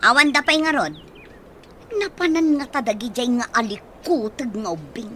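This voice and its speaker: An actress playing a grumbling, worried guardian who is hard on children, in a radio drama. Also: female voice, fairly high pitch, normal speed, sharp tone, slight background noise. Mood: irritated, worried. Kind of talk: Ilocano radio drama acting.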